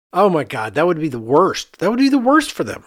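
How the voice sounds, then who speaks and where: Male podcast host, animated and joking, lively intonation, dry close sound. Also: high-pitched, no echo. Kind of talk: casual chat.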